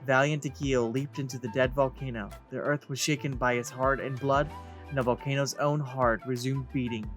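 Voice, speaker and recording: A man's voice: mid-range voice, fairly even intonation, normal speed. Guy gaming and reading stuff out, normal mid voice, game music going behind him. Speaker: let's play host. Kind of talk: reading game text aloud, casual gameplay commentary.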